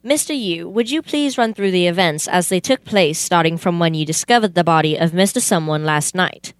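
A woman's voice: fairly low pitch, moderate pace, smooth, no room sound, close to an everyday voice. A young woman playing a polite, methodical male police detective in an amateur radio play. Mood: formal, incredulous. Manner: formal, questioning. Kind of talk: amateur old-time radio theater acting.

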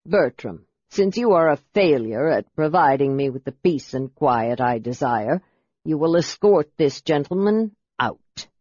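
An imperious master scholar performed by a male narrator, acerbic and short of patience. He hands down curt, formal words at a slow pace, with a slightly dull sound, as in a fantasy audiobook. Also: fairly high pitch, animated, darkish tone, slightly rough, no echo, pitched below the performer's own voice. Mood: irritated. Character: acerbic, haughty, impatient male master chronicler. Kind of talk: audiobook character voice.

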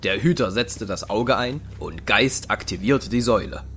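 A man's voice: fairly low pitch, normal speed, fairly bright tone, a little hiss or hum. A man narrating a German science fiction audio drama in a dramatic, scripted storytelling style.

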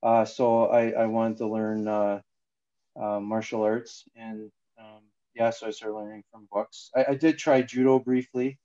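A man's voice: on the low side, level tone, measured pace, dark tone. Hesitant man in his forties, dull recording. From Ontario, Canada. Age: 40s. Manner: hesitant, many fillers. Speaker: guest, jiu-jitsu student. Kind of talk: casual interview.

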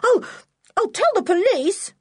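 A woman voicing a fierce, forthright young tomboy girl in a children's audiobook, blunt, indignant and quick to anger. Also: on the high side, wildly swooping pitch, husky, dry recording, studio quality, pitched above the performer's own voice, full character voice. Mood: furious. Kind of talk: children's audiobook character acting.

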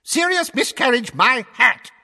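A man voicing a pompous, blustering middle-aged official who sputters and shouts indignantly. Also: high voice, very animated, moderate pace, slightly rough, long reverb tail, voice pushed up, full character voice. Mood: indignant, shouting.

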